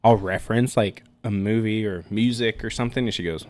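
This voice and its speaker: A man's voice: fairly low pitch, fairly even intonation, a little fast, darkish tone, slight background noise. A man in his thirties co-hosting a podcast, chatting casually in a teasing, joking way. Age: 30s. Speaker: podcast co-host. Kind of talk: casual chat.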